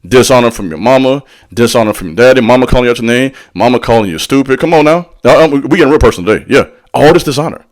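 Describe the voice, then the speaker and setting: A man's voice: medium pitch, lively intonation, rapid-fire, few pauses. Man, spiritual teacher, preacherly, slangy, direct address, call-and-response asides. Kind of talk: motivational spiritual talk.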